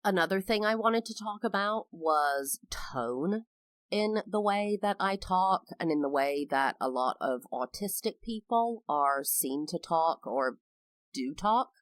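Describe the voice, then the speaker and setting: A woman's voice: lowish voice, animated, measured pace, no echo. A woman in her thirties with an English accent touched by American, hosting a personal podcast monologue with careful enunciation and a sing-song lilt. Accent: English with a slight American tinge. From United Kingdom. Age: 30s. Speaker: podcast host.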